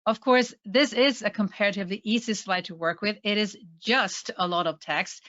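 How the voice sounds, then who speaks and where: A woman with a Swedish accent presents a webinar in an enthusiastic, friendly teaching manner. Her voice sits at a middle pitch and comes through a video call with slightly dull, lo-fi sound. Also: moderate pace, fairly bright tone. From Sweden. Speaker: presentation trainer.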